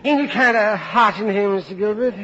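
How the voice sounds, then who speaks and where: High-voiced queasy timid cameraman. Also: a little fast, fairly bright tone, some chatter behind. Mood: queasy, whining. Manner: hesitant, folksy, complaining. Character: queasy, timid, reluctant working-class cameraman. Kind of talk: 1950s radio comedy acting. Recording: muffled, noisy old radio broadcast.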